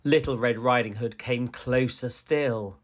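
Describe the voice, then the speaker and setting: A woman reading a children's story aloud as scripted narration, expressive and deliberate. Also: a bass voice. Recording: slightly dull, lo-fi sound.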